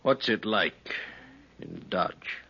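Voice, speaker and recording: A rough, husky male voice with a hard edge as a weary drifter of the old West. He speaks slowly and wistfully in folksy, halting phrases, in an old muffled radio drama. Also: sits low, lively intonation, sharp tone, exaggerated voice. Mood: wistful, curious. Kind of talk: 1950s radio western acting. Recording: muffled 1950s radio recording.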